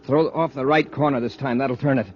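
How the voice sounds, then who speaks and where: A man playing a resolute, quick-thinking space patrol commander, giving crisp orders in 1950s radio serial style. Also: mid-range voice, fairly even intonation, lightly acted. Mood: urgent, commanding. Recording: dull, hissy old radio recording.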